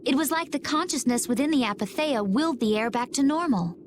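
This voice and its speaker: An actress voicing a composed young woman in a game cutscene, speaking calmly and evenly. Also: sits high, fairly quick. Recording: game audio with clear noise.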